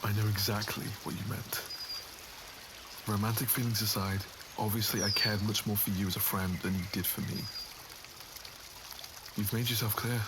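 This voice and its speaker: A man's voice: on the low side, flat delivery, normal speed, pauses often, natural voice. A man playing an earnest, lovesick nobleman in an ASMR roleplay, with formal, wounded phrasing. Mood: hurt. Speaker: voice actor in an audio roleplay. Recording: audible water and outdoor ambience noise.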